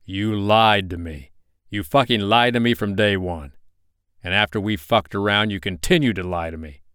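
A man's voice: on the low side, very animated, slow pace, smooth, no room sound, clean studio sound. A man voicing a furious young ex-soldier who speaks harshly and curses in an audiobook. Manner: harsh, cursing, loud and biting.

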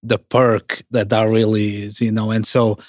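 A man in his thirties from Portugal with a Portuguese accent, a guest telling his family story in an interview, talking haltingly with many restarts. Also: fairly low pitch, little pitch movement, a little mellow, no room sound, studio quality. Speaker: Salvation Army officer, guest.

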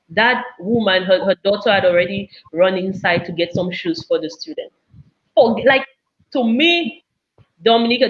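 A young woman, chatting casually as a guest and telling personal anecdotes in an animated way, acting out bits of dialogue. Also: medium pitch. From Cameroon. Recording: dull, lo-fi audio.

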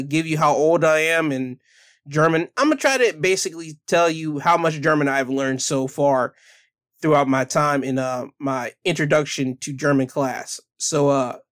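A man's voice: fairly high pitch, fairly bright tone. A man hosting a casual solo podcast, talking loosely with restarts and self-corrections, then reading news articles aloud. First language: English. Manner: rambling, self-correcting, chatty. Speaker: podcast host.

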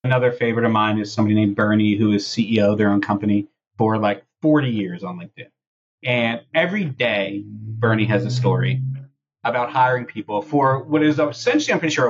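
A man's voice: fairly low pitch, fairly quick, highs cut off, slight background noise. A man hosting a casual podcast discussion, talking through and reading out posts. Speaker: podcast host.

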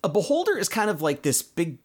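A man's voice: sits high, wildly swooping pitch, a little fast, slightly rough. A man hosting a podcast, casually recapping a cartoon episode in a conversational way with explanatory asides. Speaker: podcast host.

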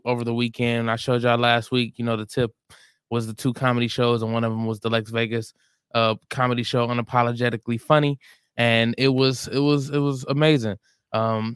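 Smooth-voiced American man, casual and rambling. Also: medium pitch, fairly bright tone, dry recording. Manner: informal, rambling. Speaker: show regular and stand-up comedian. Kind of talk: casual chat, plugging shows.

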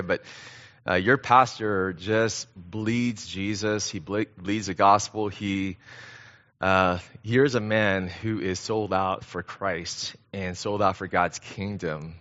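Casting: middle-aged American man, guest preacher, earnest and halting, lowish pitch with a forceful, bright edge. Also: steady tone, dull audio. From born in Korea, grew up in Southern California. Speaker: guest pastor. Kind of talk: conversational sermon.

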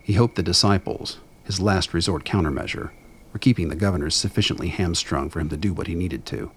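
A man with a gravelly voice reading scripted dramatic narration in a measured, brooding storytelling manner. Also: deep voice, slight background noise.

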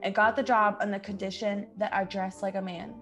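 A woman's voice: mid-range voice, level tone, fairly quick, a bit bright, faint music behind, close to an everyday voice. A woman playing a plucky, earnest young woman in an old-time radio drama. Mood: pleading. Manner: earnest, imploring. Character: plucky, pleading young woman stranded in boy's disguise.

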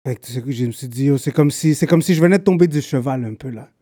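A man's voice: medium pitch, quick pace, mellow, dry recording, studio quality. A man from Guatemala with a Quebec French accent, chatting casually as a guest and dropping English slang into his talk. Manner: laid-back street slang, mixes in English. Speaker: podcast guest, videographer. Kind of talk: casual chat.

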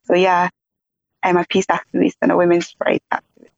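Young woman guest, measured, soft, flat delivery, muffled dry line. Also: fairly low pitch, creaky, no echo. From South Sudan, raised in Uganda. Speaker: podcast guest, peace activist. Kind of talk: interview.

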